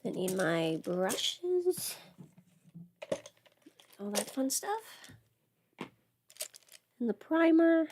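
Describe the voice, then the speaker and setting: A woman's voice: a little high, big pitch swings, drawn-out words, pauses often, darkish tone, slight background noise. A woman thinking aloud in a casual, rambling livestream chat as she gets ready, swearing lightly. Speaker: livestream host.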